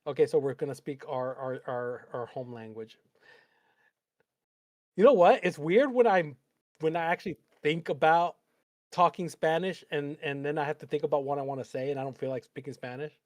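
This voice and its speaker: A man's voice: fairly high pitch, normal speed, a little mellow, no room sound, clean studio sound. A man hosting a live trading stream, talking through charts and chatting with an easy laugh. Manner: chatty, laughs easily, thinks aloud. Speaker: host trader.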